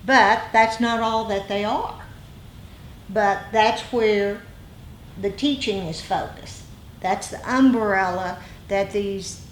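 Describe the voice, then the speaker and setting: A woman giving informal dharma teaching to a group, explaining and pausing to find her words. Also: mid-range voice. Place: meditation group meeting room. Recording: echoey room, faint background noise.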